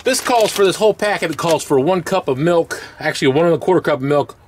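A man's voice: a little high, expressive, quick pace. A middle-aged man casually narrating his cooking step by step at a camp stove outdoors, recorded on a camera mic. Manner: folksy, talks through each step. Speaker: camping vlogger. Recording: outdoor camera audio with clear background noise.

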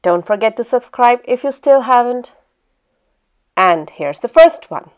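A woman hosting a language lesson, reading a scripted narration in a clear, steady way. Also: a little high, a little slow. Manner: clear, steady instructional delivery.

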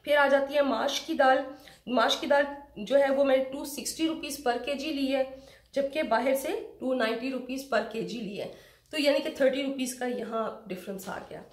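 A woman's voice: high voice, normal speed, reverberant. A middle-aged woman chatting through a shopping vlog, explaining prices step by step. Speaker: vlogger. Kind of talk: casual shopping vlog chat. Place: home room with shelves, indoors.

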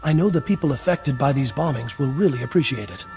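A man playing a friendly, earnest middle-aged small-town mayor in a video game, warm, effusive and a little hesitant. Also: medium pitch, lively intonation, fast, music in the background, close to an everyday voice. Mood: grateful.

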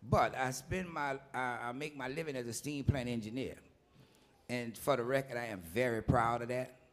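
A man, a vocalist telling his story in a relaxed, plainspoken way at an onstage interview. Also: medium pitch, normal speed. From New Orleans. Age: 50s.

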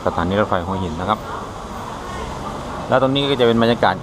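A man in his thirties giving a casual, halting spoken tour as a travel vlogger outdoors. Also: medium pitch. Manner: halting, searching for words. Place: outdoors at a railway station. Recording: noisy outdoor ambience with traffic.

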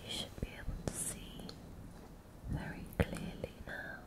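Slow whispering woman as a gentle alien healer. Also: close to an everyday voice. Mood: soothing. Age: young adult. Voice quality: breathy unvoiced whisper. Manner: soft, soothing, unhurried. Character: gentle, reassuring female alien repairing a patient. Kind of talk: whispered ASMR roleplay.

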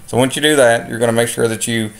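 A man giving a step-by-step software lesson over a screen recording, relaxed, with many filler sounds. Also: medium pitch, quick pace, gravelly, poor audio. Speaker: instructor.

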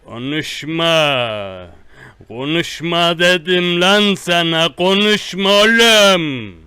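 A man doing a slow, menacing rustic thug. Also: a little high, expressive, sharp tone, clear, resonant tone, dry recording, heavily acted. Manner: slow drawn-out threats, repetitive. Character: menacing rustic male tough guy, bullying and boastful. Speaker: prank caller. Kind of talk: one-sided prank call.

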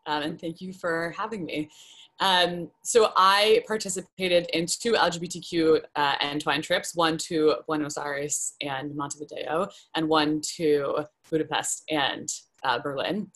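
A woman's voice: deep voice, fairly even intonation, a little slow, a bit bright. A young woman speaking casually on a video call panel from home, chatty and full of fillers. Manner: chatty, many ums and likes. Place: home room, indoors. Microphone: laptop webcam video call.

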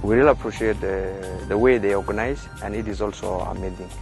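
A young scholarship student from South Sudan speaks outdoors on a campus in a somewhat low voice. He talks haltingly with many pauses. Music is clearly audible behind him. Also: fairly even intonation. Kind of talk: interview answers.

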